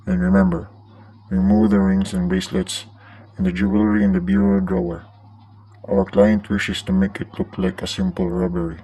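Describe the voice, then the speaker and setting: A man's voice: low-pitched, moderate pace, dark tone, slightly rough, poor audio. A man playing a cold-blooded crime boss in a radio play, giving orders in a terse, menacing, businesslike way. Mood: cold, commanding.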